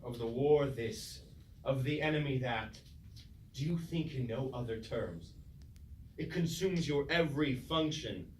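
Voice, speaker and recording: A man's voice: mid-range voice, a little slow, natural voice. An actor playing a grave, humbled, philosophical fallen robot king in stately stage-tragedy declamation. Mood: impassioned, reproving. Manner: stately, declamatory, archaic phrasing. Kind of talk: theatrical Greek-tragedy stage acting. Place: indoor room, staged reading at a university. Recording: distant, echoey recording, faint noise.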